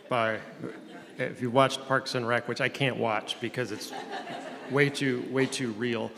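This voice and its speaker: A man speaking on a conference panel, talking in halting phrases with many restarts. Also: medium pitch, steady tone, creaky, a crowd in the background. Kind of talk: panel discussion. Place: conference room. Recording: echoey hall, faint noise.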